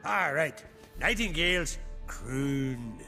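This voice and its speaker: The slow, bright-voiced, simple guard heard earnestly singsonging his lessons on night watch in a fantasy game. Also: a little high, lively intonation, soft background music, full character voice. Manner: singsong, careful recitation. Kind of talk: video game acting.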